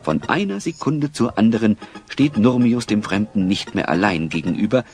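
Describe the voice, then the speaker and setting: A man narrating a German children's audio drama, with dramatic, measured phrasing. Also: fairly low pitch, expressive, a little mellow, faint music behind. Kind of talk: scripted audio drama storytelling.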